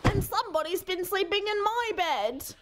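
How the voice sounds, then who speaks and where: A woman's voice: very high voice, animated, moderate pace, background noise, pitched above the performer's own voice. A woman voicing a fussy mother koala who whines and frets in a read-aloud story. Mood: whining. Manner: whiny, fussy, cooing.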